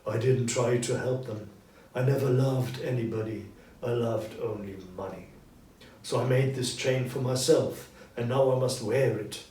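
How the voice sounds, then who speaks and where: Man playing a slow, echoing, solemn ghost. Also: medium pitch, steady tone, warm tone, lightly acted. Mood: mournful. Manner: slow, solemn, emphatic declamation. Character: ghost of a dead businessman, anguished, regretful, solemn. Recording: roomy echo, distant mic, background noise.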